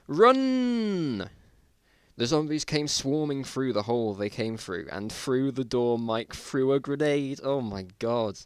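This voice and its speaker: A man's voice: medium pitch, a little mellow, smooth, no echo. A man with a mid-range voice gives an amateur dramatic reading of a story. He is wry and drops in self-mocking asides. The recording is dry, with no room sound. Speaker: narrator.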